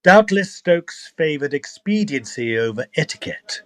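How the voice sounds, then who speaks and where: A man playing a brisk, sceptical gentleman ghost investigator who narrates a period audio drama with dry pomposity. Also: a little high, animated. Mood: dry, wry.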